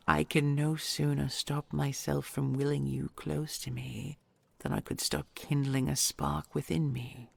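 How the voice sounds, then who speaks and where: A woman playing an ancient dragon queen, a tender and possessive lover, in an intimate romantic audio roleplay, whispery and hushed with formal archaic phrasing. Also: deep voice, flat delivery, measured pace, heavily acted. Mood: anxious, longing. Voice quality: breathy, whispery.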